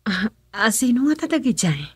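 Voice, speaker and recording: Husky, suspicious Filipino wife. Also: female voice, fairly high pitch, very animated, moderate pace, dry recording, studio quality, heavily acted. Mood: startled. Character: suspicious, questioning wife of the boss, a madam. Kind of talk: Ilocano radio drama acting.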